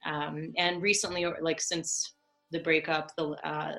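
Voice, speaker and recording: A woman's voice: low voice, level tone, a little slow, sharp tone, soft background music. A woman from Moldova whose first language is Russian, answering interview questions thoughtfully, with many ums and restarts. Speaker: podcast guest.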